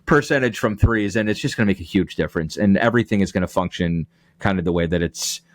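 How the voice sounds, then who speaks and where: A man's voice: deep voice, fast, dry recording. A man giving conversational sports analysis as a podcast guest. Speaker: radio play-by-play announcer, podcast guest.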